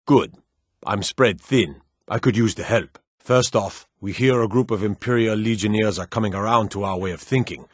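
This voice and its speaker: An actor playing a brusque soldier officer in a fantasy video game, giving terse, commanding, businesslike briefings. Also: male voice, lowish voice, moderate pace, dry recording, clean studio sound, close to an everyday voice. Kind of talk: scripted video game dialogue.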